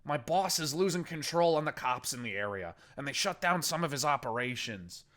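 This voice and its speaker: A man's voice: on the high side, expressive, moderate pace, fairly bright tone, pitched above the performer's own voice. A man reading a story aloud, voicing a beaten male captive who strains and curses through pain.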